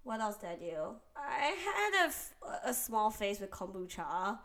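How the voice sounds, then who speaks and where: A young adult woman from Taiwan co-hosting a casual chat, speaking in a candid, animated and joking way. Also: mid-range voice, a little slow. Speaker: co-host.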